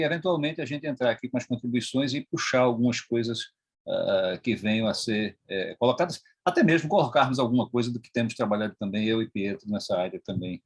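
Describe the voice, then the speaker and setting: A man's voice: medium pitch, breathy edge. A man moderating an online academic panel, speaking hesitantly and often restarting phrases.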